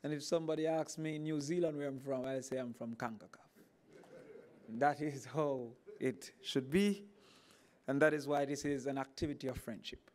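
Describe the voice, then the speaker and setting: A man with a Jamaican accent, a government minister, giving a formal speech at a podium with emphatic, rising emphasis. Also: normal speed. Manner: animated, emphatic, climbing pitch on key points.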